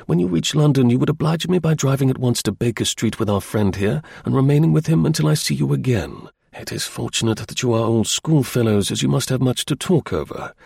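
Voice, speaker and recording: A man voicing a brisk, observant gentleman detective in a storybook, incisive, courteous and authoritative. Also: medium pitch, creaky, breathy edge, no room sound, studio quality.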